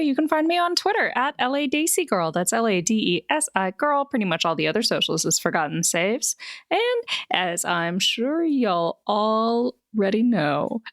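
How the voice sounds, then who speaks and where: A woman's voice: mid-range voice, a bit bright, no room sound, clean studio sound. A woman talking casually as a player in a tabletop roleplaying game. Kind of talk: casual chat.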